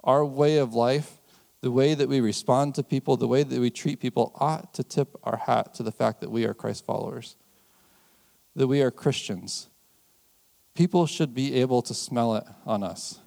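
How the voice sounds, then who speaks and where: An American man preaching a sermon in a conversational way, chuckling often and slowing down to stress key phrases. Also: medium pitch, gravelly, darkish tone. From United States. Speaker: pastor.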